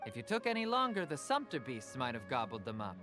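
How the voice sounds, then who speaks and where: A man's voice: medium pitch, wildly swooping pitch, fast. An actor playing a jovial male merchant in a game who spins stories to make a sale, with a playful, chuckling delivery. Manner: playful, salesy storytelling, chuckling. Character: jovial middle-aged male merchant, tall-tale salesman. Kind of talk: game voice acting. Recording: game audio with soft background music.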